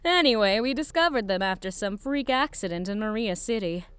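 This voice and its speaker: A woman playing an eccentric, curious lab scientist in an audio drama, musing and rambling in an excitable way. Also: medium pitch, brisk, clear, resonant tone, dry recording, natural voice. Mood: explaining, pensive. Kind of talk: scripted audio drama acting.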